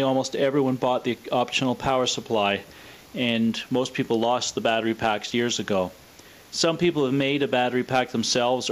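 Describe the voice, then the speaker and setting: A man presenting scripted technical narration in a measured, matter-of-fact manner. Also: medium pitch. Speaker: presenter of a vintage radio demonstration.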